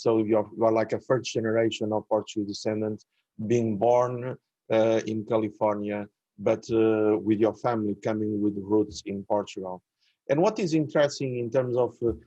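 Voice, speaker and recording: A male host guiding an interview, speaking deliberately with many pauses and "uh"s. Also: medium pitch, mellow, dry recording, muffled recording.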